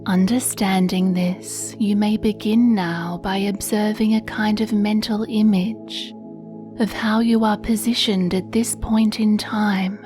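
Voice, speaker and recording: Slow, mellow woman guiding sleep meditation. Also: medium pitch, fairly even intonation, soft background music. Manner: soothing, hushed, breathy delivery with long pauses. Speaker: meditation guide. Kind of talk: guided sleep meditation, scripted narration.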